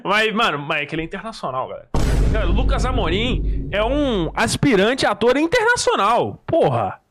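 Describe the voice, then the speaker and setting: A man in a played clip giving excited, emphatic commentary on a vlogger. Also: high-pitched, moderate pace, noisy background.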